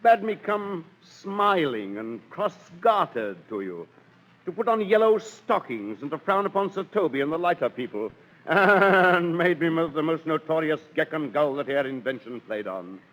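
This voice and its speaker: A pompous, wronged steward, widely swooping voice. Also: male voice, on the high side, sing-song, normal speed, fairly bright tone, poor audio. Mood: aggrieved. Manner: pompous, declamatory. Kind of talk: 1930s radio Shakespeare acting. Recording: old 1930s radio recording.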